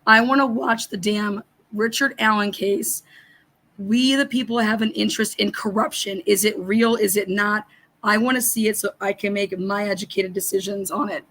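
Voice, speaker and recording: A middle-aged woman hosting a casual livestream, hoarse and raspy, reading viewer comments and ranting bluntly with lots of swearing. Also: medium pitch, steady tone, moderate pace, a bit bright, poor audio. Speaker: livestream host.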